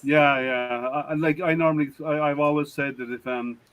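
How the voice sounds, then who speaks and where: A man's voice: a little high, steady tone, moderate pace, a bit bright. A man with an Irish accent, a guest on a video call, teasing the host with dry wit. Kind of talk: casual chat.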